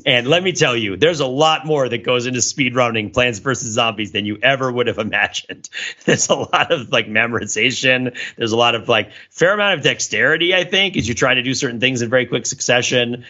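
A man hosting a podcast in casual chat, rambling through explanations in an animated, chuckling way. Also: mid-range voice, brisk. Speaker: podcast host. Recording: dull, lo-fi audio.

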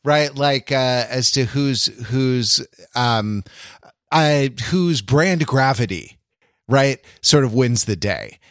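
A man hosting a casual podcast discussion, phrasing his thoughts in a halting, deliberate way. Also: mid-range voice, animated, fairly bright tone, no echo, studio quality. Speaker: podcast host. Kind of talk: casual pop culture discussion.

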